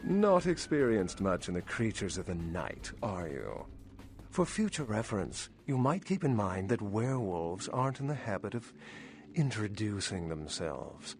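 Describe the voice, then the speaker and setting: An actor playing an urbane, world-weary old vampire scholar in a video game, speaking in a wry, erudite, condescending way. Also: male voice, fairly low pitch, sing-song, a little slow, a little mellow. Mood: amused condescension. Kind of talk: video game character acting. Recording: music behind the voice.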